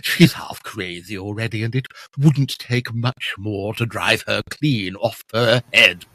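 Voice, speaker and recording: A man's voice: fairly low pitch, unhurried, pushed and bright, slight background noise. A man playing a deferential, evasive butler in a period audio drama, hesitant and formally discreet.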